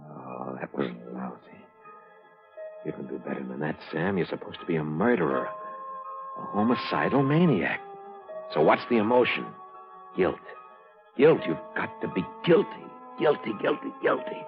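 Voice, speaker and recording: A man's voice: extremely low pitch, very animated, moderate pace, pauses often, music in the background, exaggerated voice. An actor playing an unhinged middle-aged man acting out a guilt-crazed murderer, declaiming feverishly and repetitively in old radio thriller style. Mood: self-goading, brooding. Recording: muffled old radio broadcast recording.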